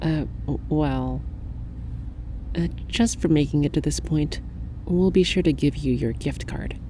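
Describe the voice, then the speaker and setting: A woman playing a secretive, businesslike researcher in a soft-spoken ASMR roleplay, speaking in a politely official but halting way. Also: low-pitched, a little hiss or hum. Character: suspicious young female linguist researcher, secretive and businesslike. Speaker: researcher at a parapsychology institute.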